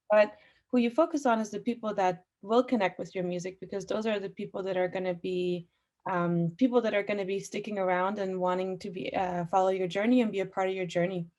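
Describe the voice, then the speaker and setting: A young woman who grew up speaking Farsi talks thoughtfully on a panel. She often pauses with fillers. Her voice sits in the middle range. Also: mid-range voice, a little mellow. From Austria. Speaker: guest artist. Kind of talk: panel discussion.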